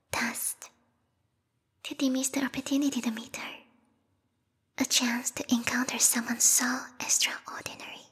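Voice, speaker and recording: Woman acting a breathy, haughty bat monster girl. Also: fairly high pitch, fairly bright tone, long reverb tail, exaggerated voice. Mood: devoted, indignant. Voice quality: breathy, whispery. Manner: formal, haughty, silky and menacingly polite. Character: yandere bat monster girl, devoted servant of vampire queen. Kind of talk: ASMR roleplay acting. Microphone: close binaural microphone.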